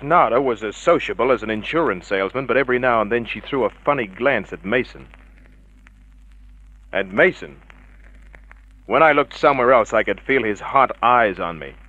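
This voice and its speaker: A man playing a wry, tough private eye, deadpan and wisecracking, in a hard-boiled radio drama narration. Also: mid-range voice. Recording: dull vintage radio drama recording.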